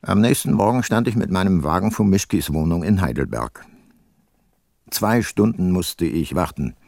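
A shrewd older private detective from a radio drama, with a low, closely dry-recorded voice. He is self-assured and probing, with a measured, dry delivery. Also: expressive, creaky, a little mellow, dry recording, exaggerated voice. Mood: reflective, dry. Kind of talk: radio play narration and dialogue.

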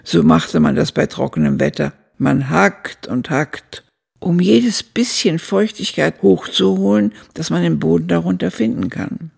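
Elderly female narrator, very creaky voice, low for a woman, reflective, measured, literary radio drama. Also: a little mellow, exaggerated voice. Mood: reflective, sober. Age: older. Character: aged woman narrator recalling her youth, reflective.

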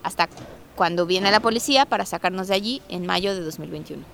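A woman's voice: fairly low pitch, brisk, vocal fry, fairly bright tone. Woman recounting her story, faint background noise. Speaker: artist resident recounting a squat and eviction. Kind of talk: personal testimony, conversational storytelling.

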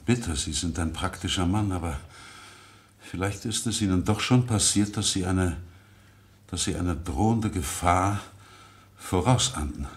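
A man playing an agitated, haunted secretary in an old German radio crime play, speaking in a halting, evasive way. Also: low-pitched. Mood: uneasy, confessing. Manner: halting, evasive, breaking off and repeating himself. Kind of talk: German radio crime play acting from the mid-20th century.

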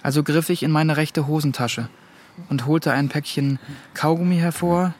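A man's voice: on the high side, fairly even intonation, normal speed, a little mellow, lightly acted. A young man playing a shaken teenage boy, earnestly recounting an ordeal in a radio drama. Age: young adult. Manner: earnest first-person recounting. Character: shaken fourteen-year-old boy recounting a hostage ordeal.

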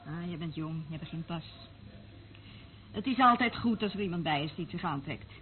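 A woman's voice: sits low, animated, moderate pace, slight background noise. An actress playing a dreamy, sensitive, experienced woman in a radio play, soothing and reflective in her manner. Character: dreamy, sensitive experienced female surveillance listener. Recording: tinny band-limited old radio recording.

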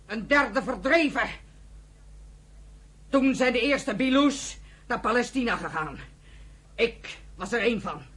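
A fervent, embittered pioneer in a radio drama, exhorting listeners. The voice is high, forceful and husky, declaiming in rhetorical questions. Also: female voice, full character voice. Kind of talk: impassioned radio play oratory.

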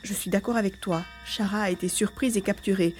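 A woman's voice: medium pitch, fairly even intonation, fairly quick, darkish tone, lightly acted. A woman acts a young boy on a rescue mission in an audio drama. He is determined and thinks aloud, describing each move. The voice sits in a roomy echo with music around it. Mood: worried, puzzled. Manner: thinks aloud, narrating own actions.

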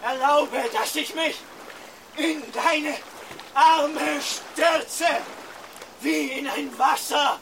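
A man's voice: very high-pitched, fairly even intonation, extremely slow, pushed and bright, slight background noise, heavily acted. An actor playing a grandiose, unhinged king in a radio play, with an exalted, declamatory delivery. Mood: rapturous, yearning. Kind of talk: German radio play acting.

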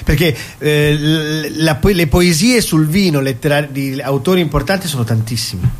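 A male actor and comedian, not from Rome, talking as a guest on a radio show and reciting poetry in an animated way. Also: fairly high pitch, moderate pace, slight background noise. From Italy, not Rome. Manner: animated, rising into dramatic recitation. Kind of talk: radio interview chat and poetry reading. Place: radio studio.

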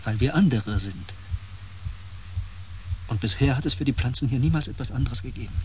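An actor in a German radio play, playing a tormented, over-sensitive empath on a space expedition, intense and halting, moving between agitation and hushed awe. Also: male voice, mid-range voice, lively intonation, lightly acted. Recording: noisy with ambient sound.

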